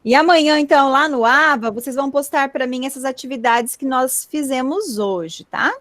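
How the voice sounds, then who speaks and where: A woman teaching an online class from home, her voice set high and captured dry through a computer mic. She explains each rule patiently and keeps checking that her students follow. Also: moderate pace, a bit bright, no room sound. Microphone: computer mic on a video call.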